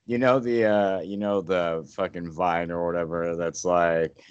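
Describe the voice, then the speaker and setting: A man's voice: deep voice, fairly even intonation, measured pace, clear, resonant tone, muffled recording. A man from South Carolina co-hosting a podcast, joking and teasing in casual chat and laughing a lot. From South Carolina, United States. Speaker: podcast co-host.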